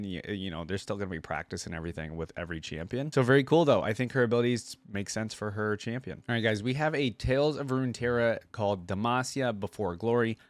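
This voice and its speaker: A man's voice: on the low side, no room sound. A man hosting a reaction video, talking informally and thinking aloud as he comments and reads text out. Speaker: video host reacting to game lore.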